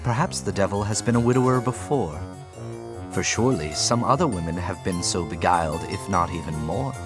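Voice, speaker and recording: A man's voice: deep voice, expressive, brisk. A man narrating an audiobook as a theatrical storyteller, solemn and dramatic. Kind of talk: theatrical scripted storytelling in rhyme. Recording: music behind the voice.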